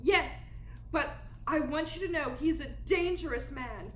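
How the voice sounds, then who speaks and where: A woman plays a genteel, worried young lady in a detective radio drama. Her voice is high and her delivery is hesitant and polite. The room echoes around her, with slight noise. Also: unhurried. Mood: fearful. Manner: hesitant, breathlessly anxious, polite. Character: fretful, genteel young female client pleading for help. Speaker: actor in a radio play. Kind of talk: 1940s radio melodrama acting. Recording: echoing room with faint noise.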